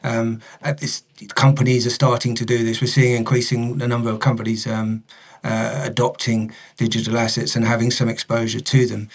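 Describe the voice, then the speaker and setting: A man's voice: medium pitch, flat delivery, moderate pace. A man, a company director, explaining strategy in an interview, hesitantly breaking his phrases into pieces.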